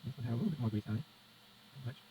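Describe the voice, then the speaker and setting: A man's voice: mid-range voice, rapid-fire, mellow. A man giving a casual hands-on repair demonstration, muttering step by step as he works. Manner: muttering step-by-step commentary to himself and viewer. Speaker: presenter of a radio repair how-to. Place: indoors at a repair workbench. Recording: dull lo-fi sound with faint noise.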